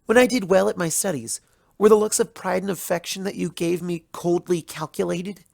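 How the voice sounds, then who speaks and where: A bitter, hurt young pony scholar as a narrator acts her out in a storybook monologue. Her delivery is measured and accusatory, with a creaky edge and a close, dry sound. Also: medium pitch, darkish tone, dry recording. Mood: hurt, accusing. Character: betrayed, bitter young female pony student, intellectual and hurt. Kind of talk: dramatic fan fiction reading as first-person monologue.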